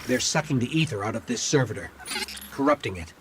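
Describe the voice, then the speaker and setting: A man's voice: mid-range voice, expressive, fast, very noisy, natural voice. A man voicing an earnest, curious little robot companion in a video game. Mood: puzzled, businesslike.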